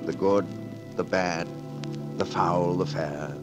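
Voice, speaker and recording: An actor playing a gallant romantic rogue poet, courtly and wry, in a 1940s radio drama. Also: male voice, low-pitched, steady tone, slow pace, music in the background. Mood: wistful. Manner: poetic, wryly courtly.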